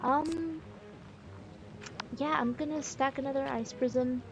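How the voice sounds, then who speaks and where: A woman's voice: sits high, steady tone, slow pace, muffled recording. A woman streamer giving casual, easygoing gameplay commentary, thinking aloud as she plays. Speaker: game streamer. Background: game music.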